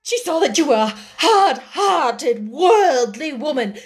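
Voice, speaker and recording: A woman's voice: very high-pitched, big pitch swings, normal speed, pitched above the performer's own voice. A woman voicing a timid middle-aged younger sister in a storybook, who speaks out in emphatic, breathless, hysterical distress. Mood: hysterical, tearful.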